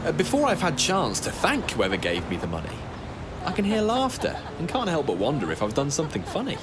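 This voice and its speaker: A man's voice: on the high side, wildly swooping pitch, fairly quick, background noise. A young British man acting an anxious, self-doubting young man on a night out in a rhyming spoken-word audio play. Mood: wry. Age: young adult. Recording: music behind the voice. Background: music.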